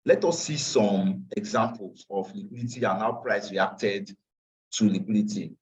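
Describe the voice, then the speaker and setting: A man's voice: on the low side, a little slow, a little mellow. Tired man teaching on a call. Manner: repeats phrases, drops into Nigerian Pidgin asides. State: tired after a long day. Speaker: forex trading teacher. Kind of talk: live online class walking through charts. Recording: distant, dull lo-fi call audio.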